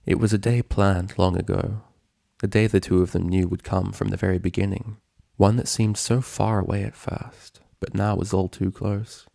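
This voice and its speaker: A man reading a story aloud as scripted narration, in a somber, measured storytelling manner. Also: deep voice, level tone, creaky, mellow, dry recording, studio quality.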